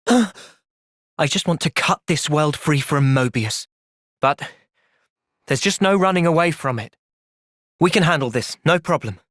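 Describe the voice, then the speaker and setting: A man voicing a thoughtful, loyal young hero in a video game, with an earnest, measured delivery. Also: a little high, expressive, a bit bright, no echo, clean studio sound. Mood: earnest, reflective. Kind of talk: video game cutscene voice acting.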